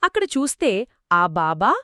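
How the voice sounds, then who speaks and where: A woman narrating a folk tale in a lively, expressive storytelling manner, trading lines with a co-host. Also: medium pitch, measured pace, no room sound, studio quality. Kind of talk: scripted two-voice story narration.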